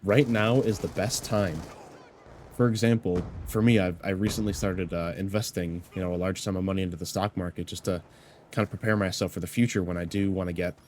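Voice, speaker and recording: Congested young man with a low voice. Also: steady tone, brisk, darkish tone, a little hiss or hum. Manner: earnest, rambling, heavy with "you know" fillers. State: sick and a little congested. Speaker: YouTuber. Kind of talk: reflective personal vlog talk.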